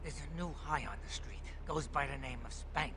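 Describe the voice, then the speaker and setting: An actor playing a vengeful mob pimp in a crime video game, threatening and indignant. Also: male voice, a little high, normal speed, noisy background, close to an everyday voice. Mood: menacing. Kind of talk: video game cutscene acting.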